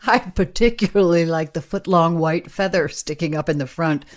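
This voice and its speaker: An actress playing a capable, deadpan camera operator with a sarcastic streak in a radio play. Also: female voice, lowish voice, normal speed, lightly acted. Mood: dry. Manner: dry, sardonic.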